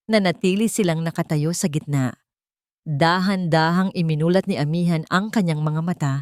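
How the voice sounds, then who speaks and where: A woman's voice: fairly low pitch, a little mellow, no room sound, studio quality. A woman reading a scripted romance story as a dramatic narrator.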